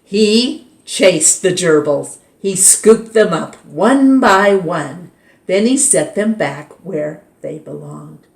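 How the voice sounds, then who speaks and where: A woman reading a children's picture book aloud as storytime host, with an expressive read-aloud manner. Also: on the low side, slow, creaky. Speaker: storytime reader. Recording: roomy echo.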